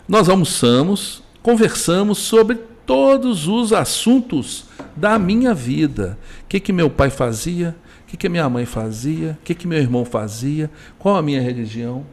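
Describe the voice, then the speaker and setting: A man's voice: on the high side, expressive, measured pace. A middle-aged man with a Brazilian Portuguese accent, a business owner chatting as a guest and telling rambling anecdotes with many restarts. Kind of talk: casual conversation, storytelling.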